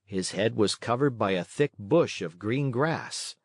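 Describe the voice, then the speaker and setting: A male storybook narrator with a medium-pitched voice. He reads a scripted children's tale, recorded close and dry with no room sound. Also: expressive, a little slow, no room sound.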